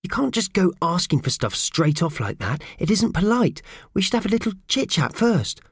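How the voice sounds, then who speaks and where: A man voicing a sensible, polite little male mouse who gently chides, in a children's audiobook. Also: high-pitched, very animated, moderate pace, slightly rough, no echo, studio quality, voice pushed up, heavily acted. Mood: hushed scolding. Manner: reasoning, polite, mildly chiding.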